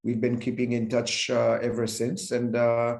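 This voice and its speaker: An Israeli man in his fifties speaks as a guest from his home over a video call, his mid-pitched voice bouncing in a roomy space. He talks haltingly, full of fillers and restarts, and his first language is Hebrew. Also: completely flat delivery, creaky, darkish tone. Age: 50s. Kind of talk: remote interview talk.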